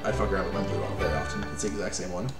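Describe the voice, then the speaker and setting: A young man from Canada, co-hosting a live game stream in casual chat, rambling and joking with quick asides. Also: low-pitched, little pitch movement, roomy sound, over loud music. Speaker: let's play co-host. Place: small room at home.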